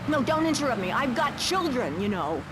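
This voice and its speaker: Shrill-pitched, self-righteous suburban mom on satirical radio. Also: female voice, big pitch swings, a little fast. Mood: indignant, flustered. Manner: ranting, self-righteous, easily flustered. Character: judgmental, fuming, brittle suburban mother on satirical talk radio. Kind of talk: satirical radio panel acting. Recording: loud street and car noise behind.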